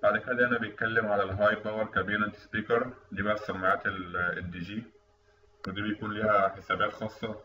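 A man with an Egyptian Arabic accent giving a technical lecture over a screen recording, explaining in a quick, conversational way. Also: lowish voice, sharp tone. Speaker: instructor. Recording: distant, dull, echoey room mic with faint noise.

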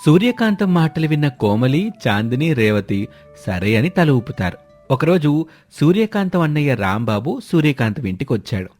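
A man's voice: on the high side, big pitch swings, normal speed, a little mellow. Male storyteller over background music. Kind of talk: scripted story narration. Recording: music behind the voice.

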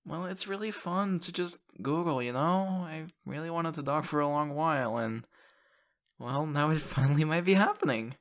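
A man playing an excitable, sappy young boyfriend in an audio roleplay, talking in a gushing, hesitant, stammering way into a close mic. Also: a little high, moderate pace. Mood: excited, flustered. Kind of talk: ASMR audio roleplay, conversational acting. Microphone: close condenser microphone.

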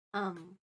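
A woman's voice: medium pitch, fairly bright tone, breathy edge. A woman co-hosting a livestream, chatting casually and picking her words carefully and hesitantly. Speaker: streamer and co-host answering viewer questions.

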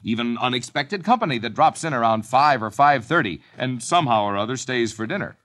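A male announcer reading a 1950s radio commercial in a smooth selling pitch. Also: expressive, brisk. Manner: warm selling pitch, very sing-song.

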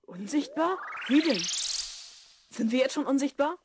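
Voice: sits high, lively intonation, unhurried, heavy background noise. An actor voicing an eager, curious twelve-year-old boy in a German children's audio drama. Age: child figure, twelve.

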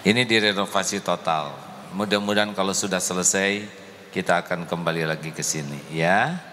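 A middle-aged man preaching in a large mosque into a handheld microphone, speaking in a deliberate, emotive way to the congregation. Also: sits low, bright, forceful tone, slight background noise. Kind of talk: mosque sermon. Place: large mosque hall, indoors. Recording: echoey hall with faint ambience.

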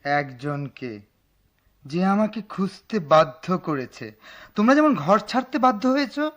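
Theatrical, swooping cryptic stranger. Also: male voice, high-pitched, very animated, a little slow, fairly bright tone, heavily acted. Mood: cryptic, amused. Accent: Bangladeshi. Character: enigmatic teasing male passenger at a deserted station. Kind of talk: Bangladeshi radio drama acting.

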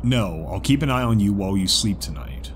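A man voicing a reserved, awkward zebra wanderer in an audiobook drama, hesitant and measured. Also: lowish voice, expressive, noisy background, lightly acted. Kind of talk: audiobook character acting. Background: music.

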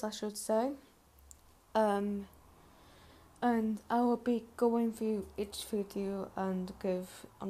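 Woman doing a chatty reaction vid, kinda hesitant, slow mellow voice. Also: medium pitch. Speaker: reaction video host. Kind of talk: casual reaction commentary.

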